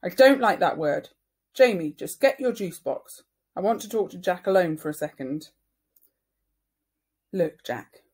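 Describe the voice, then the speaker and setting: A woman's voice: on the low side, lively intonation, unhurried, long pauses, a little mellow, slightly rough. A woman voicing a firm, earnest mother of two young boys in a storybook, speaking with emphasis. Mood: angry, firm.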